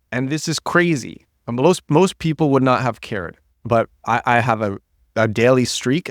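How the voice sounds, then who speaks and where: Male podcast host, recovering from a cold, low husky delivery, dry close sound, animated rants with restarts. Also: no echo. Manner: animated, restarts and repeats words. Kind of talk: solo podcast monologue.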